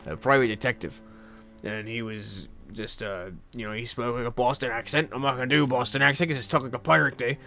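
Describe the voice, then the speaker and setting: A young man casually telling rambling anecdotes in a halting way, repeating words and often chuckling. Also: medium pitch, pushed and bright.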